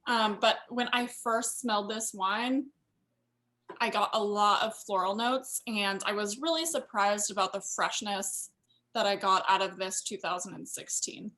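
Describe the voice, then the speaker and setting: A woman's voice: medium pitch, fairly even intonation, measured pace, bright, forceful tone. A woman hosting an online tasting event, presenting in a casual, conversational way. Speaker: host of a winery virtual tasting.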